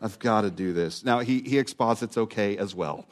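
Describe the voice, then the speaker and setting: Man preaching, swinging loud to hushed. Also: lowish voice. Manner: dramatic swings from loud to hushed, drawn-out words. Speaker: pastor. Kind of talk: sermon.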